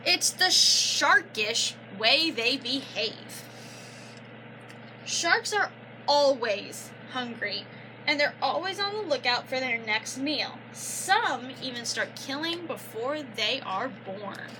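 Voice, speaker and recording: A young woman reading a children's picture book aloud like a teacher, pausing to explain and prompt with questions. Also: high voice, lively intonation, noisy background. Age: young adult. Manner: instructive, prompting listeners with questions and affirmations.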